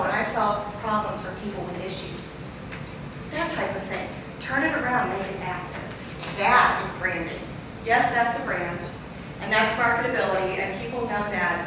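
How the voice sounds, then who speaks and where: A woman in her forties from Missouri giving a loud, chatty, animated talk at a conference. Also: mid-range voice. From Jefferson City, Missouri. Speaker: conference speaker. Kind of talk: motivational talk. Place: large echoey conference hall. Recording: echoey hall, distant mic, noisy.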